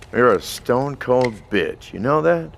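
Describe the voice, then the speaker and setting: A man's voice: mid-range voice, creaky, slight background noise. An actor playing a sardonic, bitter male scientist resigned to his fate, in audio drama dialogue. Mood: wry, resigned. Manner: sardonic, wry, swearing.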